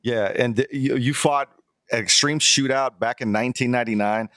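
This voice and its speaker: A man's voice: mid-range voice, fairly even intonation, normal speed, fairly bright tone, no echo, studio quality. A man from South Texas co-hosting a casual podcast, joking around and hyping up the guest. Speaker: podcast co-host.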